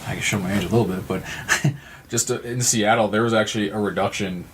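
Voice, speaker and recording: A man from Missouri with an American accent, speaking as an interview guest in an earnest, halting, reflective way. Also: on the low side, fairly even intonation, a little hiss or hum. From Missouri, United States. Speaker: nonprofit representative and guest. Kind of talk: conversational interview.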